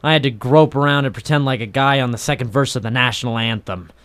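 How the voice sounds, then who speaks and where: An actor playing a tough, cynical dockside private eye in 1940s radio noir style, deadpan and hard-boiled. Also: male voice, mid-range voice, fast talker, no echo, natural voice. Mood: wry, brooding. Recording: vintage 1940s radio recording with music.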